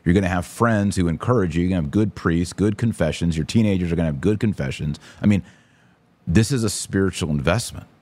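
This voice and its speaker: A man's voice: deep voice, quick pace, a little mellow, no room sound. A man hosting a podcast, giving casual, emphatic and opinionated livestream commentary with informal slang. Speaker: podcast host.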